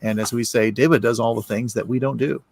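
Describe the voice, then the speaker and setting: A man's voice: mid-range voice, steady tone, fast, gravelly, darkish tone. A man co-hosting a whiskey show, reading announcements in a friendly, rambling way with many filler words. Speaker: co-host. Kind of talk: casual podcast announcements.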